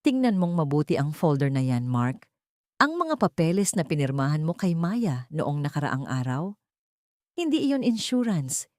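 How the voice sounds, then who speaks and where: A woman voicing a composed, assured male lawyer and loyal ally in an audio drama, speaking in a measured way. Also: low-pitched, big pitch swings, darkish tone, dry recording, clean studio sound. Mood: triumphant.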